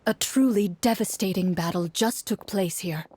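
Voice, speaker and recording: A woman's voice: medium pitch, normal speed, a little mellow, breathy edge. A woman giving scripted second-person storytelling narration for a video game.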